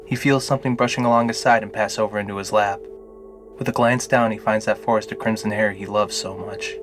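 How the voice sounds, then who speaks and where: Man, fairly deep pitch, scripted story narration, audio drama, clear background music. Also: steady tone, fairly quick. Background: music under the narration.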